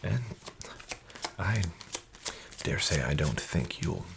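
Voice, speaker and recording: A man playing a smooth-talking, falsely friendly casino dealer, soft-spoken and half-whispered. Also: very deep voice, expressive, slow, exaggerated voice. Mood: sly coaxing. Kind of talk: soft-spoken ASMR roleplay. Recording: audible background noise with card shuffling.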